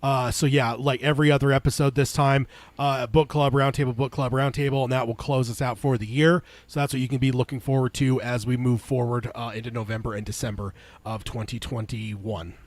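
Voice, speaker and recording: A man's voice: medium pitch, level tone, brisk, no room sound. A man co-hosting a casual podcast, talking in a rambling way with lots of "uh" fillers and a slightly congested voice. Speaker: podcast co-host.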